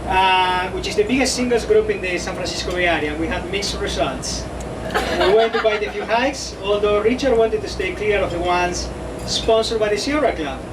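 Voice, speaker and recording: A man's voice: high voice, normal speed. A man with an Argentine accent giving a toast at a wedding, friendly and a little hesitant. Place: wedding reception, indoors. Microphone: camcorder from across the room. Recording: noisy home camcorder audio from far off.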